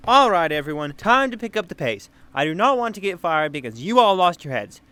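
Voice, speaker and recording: A man's voice: sits high, big pitch swings, a little fast, sharp tone, clear, resonant tone, dry recording, full character voice. A man acting a harried, bossy young man in a comedic audio drama, earnest and exasperated.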